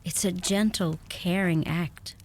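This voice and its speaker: An actress playing a self-possessed, tender young bride-to-be in a radio play, speaking directly. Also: female voice, on the low side, fairly even intonation, slow, a little hiss or hum. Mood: candid, tender.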